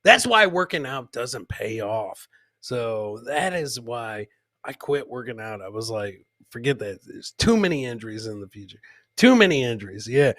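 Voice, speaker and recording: A man's voice: medium pitch, sing-song, moderate pace, a bit bright, no room sound, studio quality. A man hosting a comedy podcast, casually commenting on funny video clips and repeating his own catchphrases. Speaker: podcast host.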